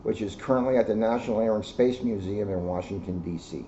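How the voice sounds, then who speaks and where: Mellow older man, muffled noisy recording. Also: fairly low pitch, fairly quick. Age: 60s. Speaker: police officer reading a children's book. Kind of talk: read-aloud storytelling with informal commentary. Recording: muffled, noisy amateur video sound.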